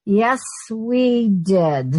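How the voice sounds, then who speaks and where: A woman's voice: mid-range voice, lively intonation, very slow, darkish tone, no echo. A woman playing a wry, long-suffering young city woman who narrates and wisecracks sardonically in a comic old-time radio play. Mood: dry, sarcastic.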